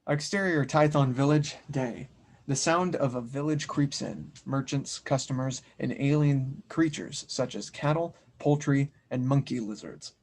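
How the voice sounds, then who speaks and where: A man's voice: medium pitch, measured pace, a little mellow. A man reading scripted narration and stage directions for an audio drama table read.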